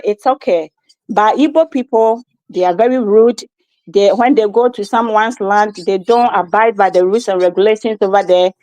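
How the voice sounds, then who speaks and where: A woman's voice: mid-range voice, darkish tone, no echo, rough recording. A woman from Ghana with a Ghanaian accent, giving insistent opinions as a guest in an interview and often checking that she is understood. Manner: insistent, keeps repeating "you see what I mean". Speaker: guest commentator.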